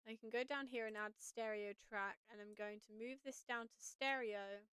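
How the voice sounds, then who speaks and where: A woman with a slightly raspy but smooth, higher-pitched voice chats casually as she teaches audio editing on a live stream. She thinks aloud while she works. The sound is dry and close. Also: steady tone, normal speed, fairly bright tone, no room sound.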